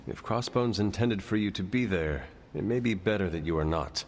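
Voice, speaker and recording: Dude voicing stiff formal hero, fairly low voice, talks really proper, music in back. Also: male voice, moderate pace, lightly acted. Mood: thoughtful. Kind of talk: video game cutscene.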